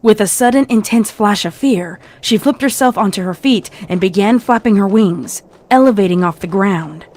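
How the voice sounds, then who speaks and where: A woman's voice: mid-range voice, normal speed, hardly any pauses, a little mellow. A woman reading scripted fiction as a narrator, in a dramatic storytelling style.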